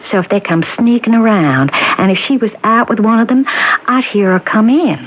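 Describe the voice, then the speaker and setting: A woman's voice: mid-range voice, normal speed, fairly bright tone, close to an everyday voice. Actress as a hard, suspicious, sardonic mother. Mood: bitter. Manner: curt, sardonic. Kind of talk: 1970s radio drama acting. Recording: dull, noisy old radio recording.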